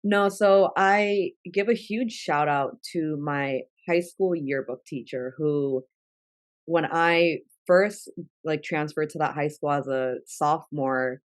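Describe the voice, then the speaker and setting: A woman's voice: deep voice, slow pace, no room sound. Young woman guest, Spanish first language, chatty with fillers, dry close-mic sound. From New York. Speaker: guest, travel content creator. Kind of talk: casual interview talk.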